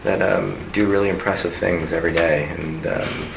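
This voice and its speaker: Gravelly low-voiced man, rambling. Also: fairly even intonation, moderate pace. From United States. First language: Cantonese. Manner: rambling, many fillers and restarts, chuckles. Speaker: interviewee, film industry worker. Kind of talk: casual interview chat. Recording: noisy background.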